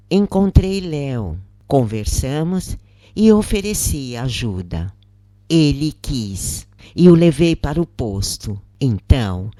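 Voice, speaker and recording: A narrator reading a scripted first-person account in measured phrases with pauses. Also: mid-range voice, warm tone, dry recording, studio quality.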